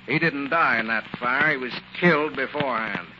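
An actor playing a stern, no-nonsense police inspector, brusque and authoritative, in a 1940s radio drama. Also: male voice, medium pitch, normal speed, close to an everyday voice. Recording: dull, hissy 1940s radio recording.

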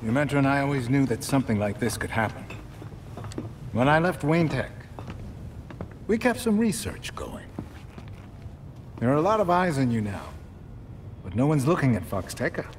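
Actor as a lively older male mentor, noisy backdrop. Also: mid-range voice, normal speed, pauses often, close to an everyday voice. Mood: warm, assured. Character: wry, fatherly older male inventor and loyal mentor. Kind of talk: video game cutscene acting.

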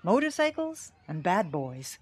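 Bitter middle-aged woman teacher, husky, swooping pitch, wry, theatrical, audio drama. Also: very animated, unhurried, darkish tone, heavily acted. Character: bitter, sardonic small-town teacher, middle-aged mother. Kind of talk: audio drama interview testimony.

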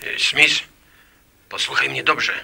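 An actor playing an agitated, urgent merchant's informant in a radio drama. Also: male voice, mid-range voice, slow pace, gravelly, noisy background. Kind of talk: Polish radio drama acting.

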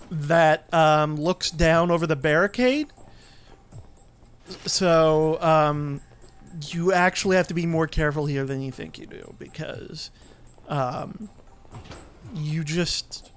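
Male game commentator, slightly high voice, casual and explanatory, pauses mid-thought. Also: fairly bright tone, clean tone.

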